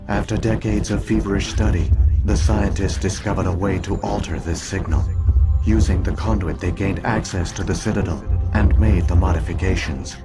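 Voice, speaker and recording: A man playing an ancient alien virtual intelligence in a video game, speaking in a calm, measured, formal way as he explains. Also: low voice. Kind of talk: scripted game dialogue, expository. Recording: music behind the voice.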